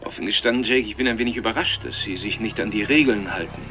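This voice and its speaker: An actor in a radio drama playing a taunting, intellectual serial killer, with a mocking, theatrical way of drawing out phrases. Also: male voice, on the low side, music in the background, close to an everyday voice. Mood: cool, arguing. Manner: mocking, theatrical, mannered, teasing, drawn-out phrasing.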